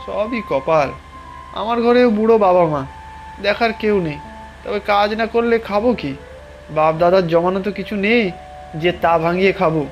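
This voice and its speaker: High-voiced humble cartoon labourer. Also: male voice, normal speed, long pauses, lo-fi sound, faint music behind. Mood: resigned, chatty. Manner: plain-spoken, folksy. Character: humble, resigned male village day labourer. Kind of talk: cartoon voice acting.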